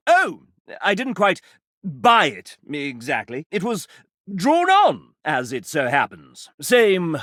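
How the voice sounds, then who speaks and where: Flamboyant, swooping British gentleman mascot. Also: sits high, no echo, studio quality, heavily acted. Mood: proud, playful. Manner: flamboyant, pompous, exaggerated dandy delivery. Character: sassy, pompous, theatrical gentleman mascot of a game company. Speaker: video game mascot character. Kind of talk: theatrical video game character acting.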